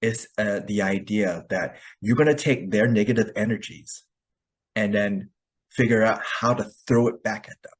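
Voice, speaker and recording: A man's voice: lowish voice, moderate pace, breathy edge. A man hosting an online discussion, explaining ideas casually and often checking in with a short tag question. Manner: explains with game analogies, checking with "right?".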